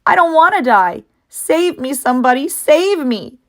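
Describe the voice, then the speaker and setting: A woman's voice: high voice, expressive, measured pace, a bit bright, pitched above the performer's own voice. A woman voicing a childish young male pig in a storybook, wailing and pleading with hysterical emotion. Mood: panicked, pleading.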